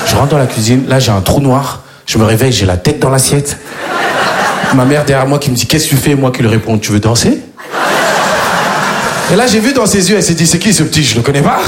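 A man doing a stand-up routine, telling a family story loudly and acting out the dialogue. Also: mid-range voice, very noisy. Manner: fast, loud storytelling with acted-out dialogue. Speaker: stand-up comedian.

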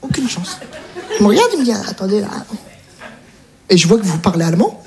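A woman's voice: mid-range voice, very animated, brisk, crowd noise. A woman performing a stand-up routine, telling a story and acting out the dialogue with energy. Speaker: stand-up comedian. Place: comedy stage. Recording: noisy live recording.

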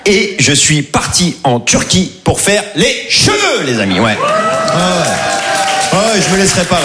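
A man's voice: sits high, huge pitch swings, measured pace, loud music behind the voice. Comic, forties, male, chatty self-deprecating bit, live audience heavy in the mix. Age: 40s. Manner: self-mocking, conversational. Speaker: stand-up comedian. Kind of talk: stand-up routine. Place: comedy stage before audience. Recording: live show with loud crowd.

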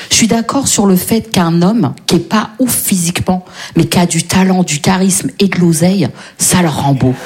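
A woman's voice: lowish voice, slight background noise. A woman performing a stand-up routine on stage, brash, slangy and self-mocking. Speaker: stand-up comedian. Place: comedy stage with audience.

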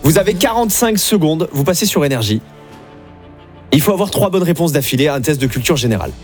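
A man's voice: on the high side, lively intonation, a little mellow, faint music behind. A man hosting an evening radio game show, upbeat and chatty, talking from the studio. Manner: upbeat, chatty, quick patter.

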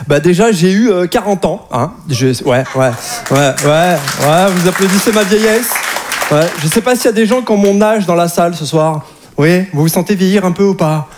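A man in his forties performs stand-up in a comedy venue, his voice pitched high for his sex. He teases the crowd with quick asides, and the room noise is clearly audible behind him as the audience laughs. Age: 40s. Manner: lively, teasing the crowd, frequent asides. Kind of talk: stand-up routine on stage. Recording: live stage recording with audible room noise.

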